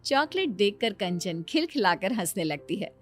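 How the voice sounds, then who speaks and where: A woman's voice: medium pitch, sing-song, normal speed, a little hiss or hum. Woman narrating a moral story.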